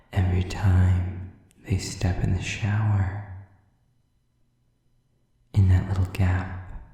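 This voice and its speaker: A man playing a sadistic, contemptuous demon in an audio roleplay, whispering slowly and breathily with taunting condescension. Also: deep voice, mellow. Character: sadistic, contemptuous male demon preying on humans. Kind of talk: whispered dramatic monologue to the listener. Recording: distant, very echoey, muffled sound.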